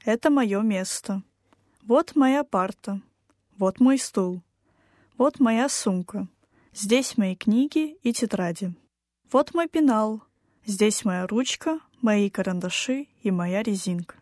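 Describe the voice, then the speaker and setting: A woman reading a textbook language lesson aloud, slowly and with careful, clear enunciation. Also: mid-range voice, a little mellow, no room sound, studio quality. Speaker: language course reader.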